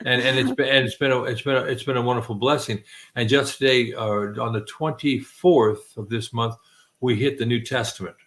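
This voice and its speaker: A man's voice: mid-range voice, fairly even intonation, a little fast, fairly bright tone. A middle-aged man hosting a casual late-night chat, rambling with many restarts and self-deprecating jokes. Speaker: host.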